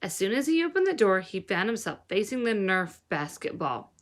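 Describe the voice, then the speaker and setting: A woman with a medium-pitched voice reads a story aloud like a teacher to pupils. Her intonation is lively and varied, and her delivery is expressive and instructive. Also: normal speed. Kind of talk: storybook read-aloud narration.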